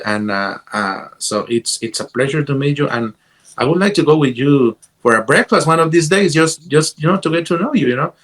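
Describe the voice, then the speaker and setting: A man from Guatemala with a Guatemalan Spanish accent tells stories casually as an interview guest, in a halting way, repeating words as he goes. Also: fairly high pitch, fairly bright tone. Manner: halting, repeats words, peppered with "you know". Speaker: interview guest, guitarist and music teacher. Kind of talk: casual conversational storytelling.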